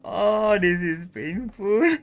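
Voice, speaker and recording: Slow-talking man reacting on a game stream. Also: sits high, animated, dull audio. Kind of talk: casual live game reaction. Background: game music.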